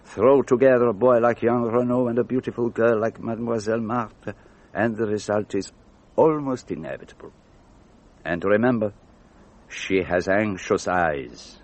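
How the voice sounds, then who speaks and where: An actor playing a brilliant, self-assured detective in a radio drama, precise and theatrical. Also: male voice, on the low side, moderate pace, lightly acted. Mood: knowing, brisk. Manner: precise, theatrical, gently didactic.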